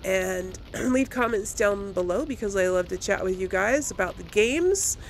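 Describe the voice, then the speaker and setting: A woman hosts a casual let's play in an informal, chatty way. Her voice sits at a middling pitch as she thinks aloud for her viewers. Faint noise and game ambience sit behind her. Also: animated, unhurried. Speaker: host of a video game let's play series. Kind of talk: casual gameplay commentary to viewers.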